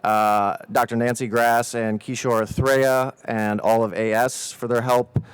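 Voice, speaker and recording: A man's voice: medium pitch, level tone, measured pace, smooth, no room sound. A man moderating a student election debate, reading rules and roles aloud with the odd filler. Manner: reads roles out evenly, with some "uh" fillers. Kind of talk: formal announcements.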